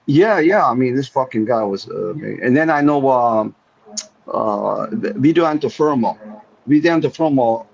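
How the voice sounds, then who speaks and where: Fields: man, gravelly voice, lo-fi audio. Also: medium pitch, lively intonation, moderate pace. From New Jersey, raised partly near Naples, Italy. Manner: rambling, restarts, swears casually. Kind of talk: casual podcast chat. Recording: dull lo-fi audio.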